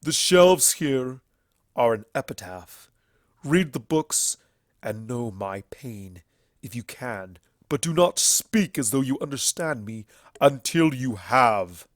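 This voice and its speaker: A man voicing a bitter, grieving, proud ancient stallion in a storybook reading, forceful and declamatory. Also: mid-range voice, lively intonation, slow pace, dry recording, studio quality. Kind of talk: dramatic fan fiction character reading.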